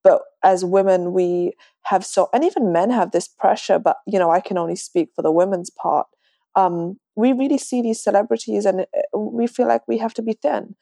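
A woman's voice: medium pitch, normal speed, creaky, dark tone, dry recording, clean studio sound. A woman in her twenties with a slightly Americanised London accent, chatting casually as a podcast guest. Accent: London English, slightly Americanised. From London, England. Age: 20s. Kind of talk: casual conversational interview.

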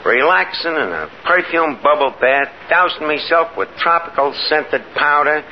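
Word-mangling New York tavern manager, blustering 1950s radio comedy, very animated delivery, muffled hissy broadcast. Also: male voice, on the high side, normal speed. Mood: boastful, dreamy. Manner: pompous, grumbling, mangles words. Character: blustering, scheming tavern manager, a man full of malapropisms. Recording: noisy, muffled old radio broadcast recording.

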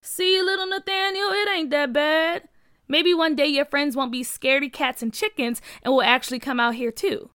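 A swaggering boy ringleader played by a woman telling a story, high and bright. He talks in slang like a teasing leader of the gang. The voice sounds dry and close. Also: steady tone, normal speed, no room sound. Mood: taunting. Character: cocky teasing boy ringleader with a hidden heart. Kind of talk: acted children's story dialogue.

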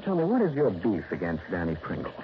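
An actor playing a dry, wisecracking insurance investigator who narrates and questions people in an old radio drama. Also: male voice, sits low, wildly swooping pitch, quick pace, background noise, heavily acted. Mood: probing. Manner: dry, wry, matter-of-fact. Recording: dull old radio recording with music.

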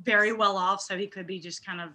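A woman's voice: mid-range voice, quick pace. A woman guest on a podcast, chatting casually and acting out her own reactions. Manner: animated, acts out her own reactions with "I'm like". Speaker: podcast guest, bra company founder. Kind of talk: casual chat.

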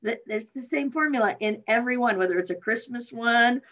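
Animated woman from Minnesota, casual storyteller. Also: a little high, fairly quick, lo-fi sound. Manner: animated storyteller, laughs often. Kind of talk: casual chat.

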